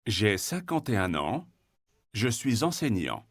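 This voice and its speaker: A man speaking a short, slow, carefully clear line on a language textbook recording. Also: fairly low pitch, very animated, a bit bright, no echo, clean studio sound, full character voice.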